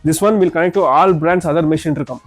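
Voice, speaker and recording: A man's voice: fairly high pitch, fast talker, soft background music. A man with an Indian accent giving an informal product demonstration as a shop salesman, often repeating words and phrases as he explains.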